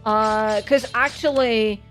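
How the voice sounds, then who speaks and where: A French-accented woman, English being her second language, talks through her thoughts on a casual gaming stream. Her voice sits a little high, and she takes her time, wandering off and restarting her sentences as she reflects. A soft bed of game music plays underneath. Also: fairly even intonation, slow pace. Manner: musing, rambling, self-correcting. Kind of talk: casual gaming livestream chat.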